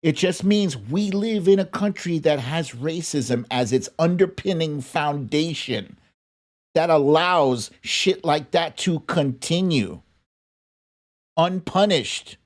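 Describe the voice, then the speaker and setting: An American commentator delivers opinionated political talk at a slow pace. The pitch sits in the middle, and the manner is blunt, emphatic and indignant, as unscripted argument from a show host. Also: animated.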